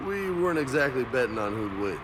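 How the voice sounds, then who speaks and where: A man's voice: medium pitch, sing-song, a little fast, close to an everyday voice. Guy playing a swaggering soldier dude in a game, very up and down delivery, slangy, echoey. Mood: easygoing. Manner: slangy, swaggering, Spanish words mixed in. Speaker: video game voice actor. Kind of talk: casual game dialogue acting. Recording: reverberant room, faint ambient noise.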